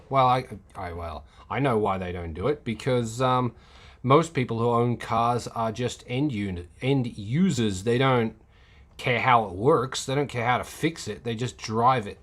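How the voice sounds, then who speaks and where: A man's voice: mid-range voice, lively intonation, moderate pace. A man hosting a casual livestream, rambling and answering chat comments, with many fillers and restarts. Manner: reads and replies to chat comments.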